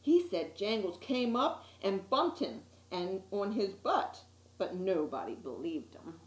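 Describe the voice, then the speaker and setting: A woman's voice: mid-range voice, a little slow. Woman reading a storybook in an echoey room. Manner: expressive storyteller with asides to listeners. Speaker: story time reader. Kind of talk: reading a children's picture book aloud.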